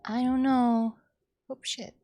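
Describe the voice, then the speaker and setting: A woman's voice: high-pitched, level tone, slow pace, warm tone. A woman streaming a game with casual, informal live commentary.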